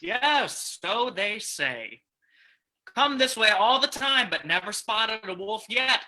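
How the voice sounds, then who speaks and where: An actor playing a menacing, smug saloon keeper in an old-time radio drama, with a folksy, mock-friendly taunting manner. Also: male voice, high voice, big pitch swings, takes it slowly, sharp tone, full character voice. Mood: sly, casual.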